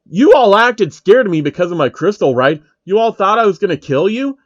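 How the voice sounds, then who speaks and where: A man voicing a loud, hot-tempered male gamer villain who rants, whines and swears, in a fan fiction story. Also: sits high, expressive, fairly quick, no echo, dull audio, voice pushed up. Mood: indignant arguing. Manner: whiny, ranting, sweary.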